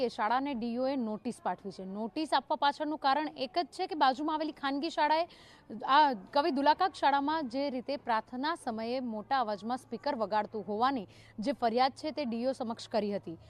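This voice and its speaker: Live news reporter: woman, high-pitched voice, interviewing on air. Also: fairly quick.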